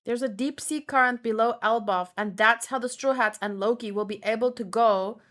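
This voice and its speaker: A woman's voice: on the high side, moderate pace, fairly bright tone. A woman chatting casually as a streamer, thinking aloud in a rambling, exclamatory way. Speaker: manga read-along streamer.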